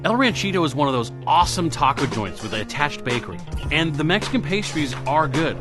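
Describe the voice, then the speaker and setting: A man's voice: fairly high pitch, very animated, fairly quick, music in the background. A man hosting a local web series, reading upbeat scripted news and event segments in a playful, tongue-in-cheek way.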